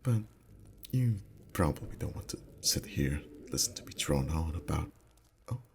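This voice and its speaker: Very deep, soft-spoken boyfriend played by a man. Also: lively intonation, moderate pace, creaky, heavily acted. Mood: relaxed, musing. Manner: soft, intimate, slipping into whispers. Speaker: voice actor. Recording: faint ambient background noise.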